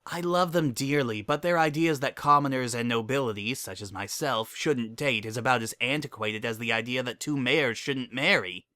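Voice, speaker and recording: Casting: man playing relaxed, affectionate noble girl pony for storybook, measured delivery, dry close sound. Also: medium pitch, no room sound, natural voice. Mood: firm, resigned. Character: easygoing, romantic young female noble pony. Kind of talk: dramatic reading of fan fiction.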